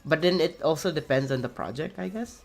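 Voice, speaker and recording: A young man with a raspy voice, streaming a game and rambling casually with many fillers and restarts. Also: fairly high pitch, brisk. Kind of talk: casual chat while playing a game.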